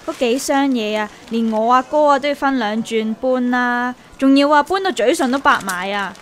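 Young woman played by an actress, Hong Kong Cantonese, radio drama; sensible worrier, slightly high pitch. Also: normal speed, a little hiss or hum, natural voice. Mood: teasing exasperation. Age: young adult. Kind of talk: naturalistic radio drama dialogue and narration.

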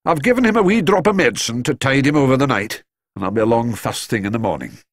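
A man's voice: mid-range voice, animated, fast, no room sound, clean studio sound, pitched above the performer's own voice. A man voicing a solemn, blunt older doctor. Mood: grave. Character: grave older Scottish male doctor.

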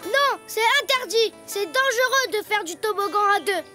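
A performer voicing a cheeky, excitable little boy donkey in a cartoon, with a childlike voice and a sing-song, self-important way of talking. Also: normal speed. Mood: bossy. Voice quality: high, childlike. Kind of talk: cartoon voice acting. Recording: music behind the voice.

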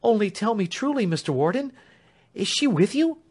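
A man voicing a distraught, loyal female servant who pleads in a high, breathless voice. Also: very animated, moderate pace, darkish tone, husky, full character voice. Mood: pleading.

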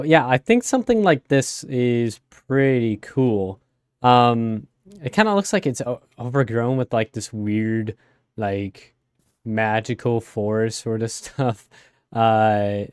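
A young man with a raspy voice from a cold, rambling casually with many fillers as he plays a game. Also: medium pitch, a little mellow, no room sound, clean studio sound. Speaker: let's play host. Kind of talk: casual gameplay commentary.

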